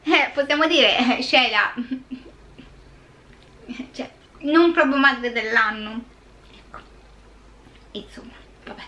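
A woman's voice: fairly high pitch, pushed and bright. A young woman, retelling a true crime story in a casual vlog, breaking off often for sarcastic, exasperated remarks. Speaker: YouTube true crime host. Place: small indoor room with purple lighting.

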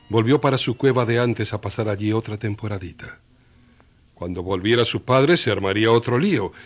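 A man narrating a radio drama in a conversational storytelling style. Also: fairly low pitch.

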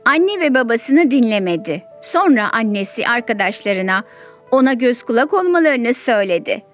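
A woman's voice: fairly high pitch, very animated, moderate pace, faint music behind. A woman storyteller narrating a children's story in a scripted read.

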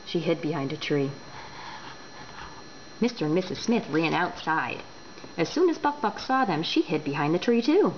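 Woman storyteller, animated intonation, deliberate delivery, dull home recording, background noise. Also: fairly low pitch. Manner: expressive, deliberate. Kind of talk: children's book read aloud.

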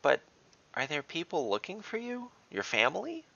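Man putting on a high, nervous adventuring woman. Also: very animated, moderate pace, gravelly, pushed and bright, dull audio, full character voice. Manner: hesitant, high-pitched. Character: nervous female adventurer, hesitant.

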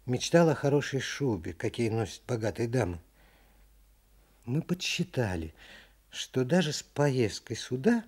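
A polite humble american office clerk in a radio play, bit raspy. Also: male voice, mid-range voice, darkish tone. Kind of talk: radio drama acting.

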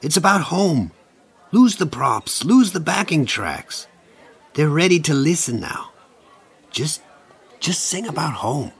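A man's voice: a little high, big pitch swings, slow, long pauses, breathy edge, some chatter behind, heavily acted. A man playing a singer's earnest assistant in a comedy audio drama, urging sincerely.

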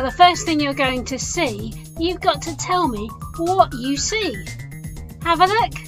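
A woman presents a game for children in a chatty, direct way. Her voice is high-pitched, with children's music playing behind her. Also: a bit bright.

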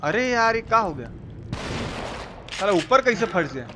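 Male game streamer, Bihari accent, high register, colloquial exclaiming commentary, noisy game ambience underneath. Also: very animated, normal speed. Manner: colloquial, repetitive, exclaiming, mock-abusive. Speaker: live-streamer playing a horror game. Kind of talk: excited gameplay commentary.

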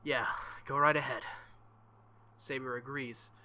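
Bright-voiced man reading a visual novel. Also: mid-range voice, level tone, a little slow. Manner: joking, crude asides between narrated passages. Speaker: let's play host.

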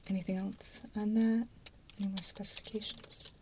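A woman playing a mild, businesslike intake assessor in an ASMR role-play, in a soft breathy whisper with a methodical, slightly hesitant manner. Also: medium pitch, little pitch movement, normal speed, background noise, close to an everyday voice. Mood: calm, methodical. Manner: methodical, hesitant, matter-of-fact. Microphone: close microphone.

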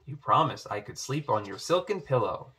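A man's voice: medium pitch, very animated, moderate pace, pushed and bright, breathy edge, heavily acted. A man voicing a pushy, cheerful male frog in a read-aloud storybook. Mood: cheeky.

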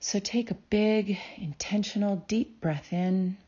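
A woman narrating a guided meditation in a calm, soothing way, with deliberate phrasing and long pauses. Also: medium pitch, lo-fi sound. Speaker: guided meditation host and healer.

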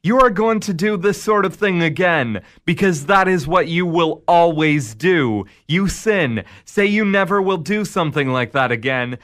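Man acting a high-voiced, smug, deliberate Devil. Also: a bit bright. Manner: measured, deliberate, sardonic. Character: the Devil, calm, smug, needling male tempter. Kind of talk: radio drama acting.

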